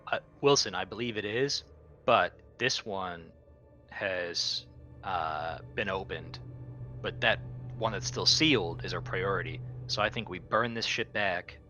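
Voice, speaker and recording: Man, tabletop player, low voice, bright edge, decisive, commanding, improvised roleplay, music behind. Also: measured pace.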